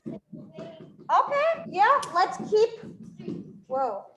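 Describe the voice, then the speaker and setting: A woman's voice: very high-pitched, huge pitch swings, drawn-out words, fairly bright tone, roomy sound, rough recording. A woman with a New York accent teaching a fifth-grade math class, working problems aloud and quizzing her students. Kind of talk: live classroom math lesson.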